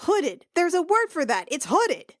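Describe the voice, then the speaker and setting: A woman's voice: very high voice, sing-song, unhurried, no room sound, studio quality. A woman in improvised comic roleplay banter, flustered and stammering as she repeats words in protest. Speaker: tabletop roleplayer on a podcast.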